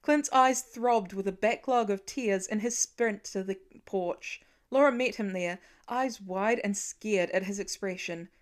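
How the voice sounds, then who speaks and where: A woman reads a piece of fiction as its narrator. Her voice sits at an average pitch for a woman, and her scripted delivery is expressive and builds suspense. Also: unhurried, few pauses. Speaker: audiobook narrator.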